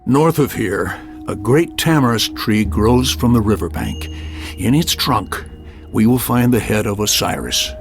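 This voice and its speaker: A man's voice: on the low side, expressive, a little slow. A man playing an earnest, loyal warrior ally in a video game cutscene. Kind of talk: scripted game cutscene dialogue. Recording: music behind the voice.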